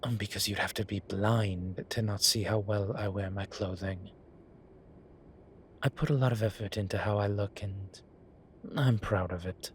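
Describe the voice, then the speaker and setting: A man's voice: fairly low pitch, fairly even intonation, moderate pace, slight background noise, natural voice. A man playing a vain, suave incubus demon who poses as a posh professor in an audio roleplay, with a wry, self-satisfied drawl. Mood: smug, flirtatious. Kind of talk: scripted audio roleplay acting. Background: ambient sound effects.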